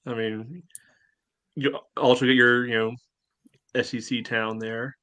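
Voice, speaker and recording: A man's voice: medium pitch, little pitch movement, a little slow, sharp tone. A man co-hosting a casual sports podcast, conversational and ready to question or counter what is said. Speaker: podcast co-host.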